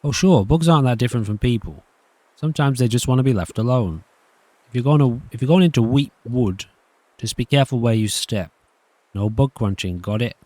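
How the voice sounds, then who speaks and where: A man voicing a gentle, earnest bug-loving kid in a story game. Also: mid-range voice, animated, brisk, no room sound, close to an everyday voice. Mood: earnest, mild.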